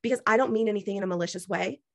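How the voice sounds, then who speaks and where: A woman's voice: medium pitch, very fast, vocal fry, no echo, clean studio sound. A woman gives a preachy, emphatic motivational talk to her team on a video call while losing her voice. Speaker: business team leader.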